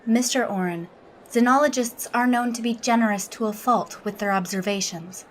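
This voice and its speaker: A woman playing a logical alien officer in an audio drama, speaking in a measured, formal, unemotional manner. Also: on the high side, slight background noise, close to an everyday voice. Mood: composed, courteous. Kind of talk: audio drama interview and log narration.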